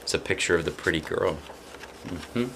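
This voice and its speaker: A man in his forties with a fairly low voice reads a picture book aloud. He talks conversationally to young viewers, often asking them questions. He is recorded in a small room on a camera mic, with clear background noise. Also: moderate pace. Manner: conversational, puts questions to the listener. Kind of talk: read-aloud storytime with chatty asides to young viewers. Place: small indoor room, seated before a plain wall.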